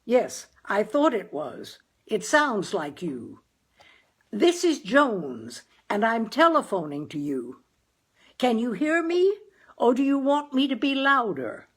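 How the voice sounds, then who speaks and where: A woman voicing a gloomy, plainspoken working-class father in a storybook, with folksy grammar and a deliberate, emphatic delivery. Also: on the high side, very animated, breathy edge, pitched above the performer's own voice, heavily acted. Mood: stiff, loud. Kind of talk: dramatized audiobook character.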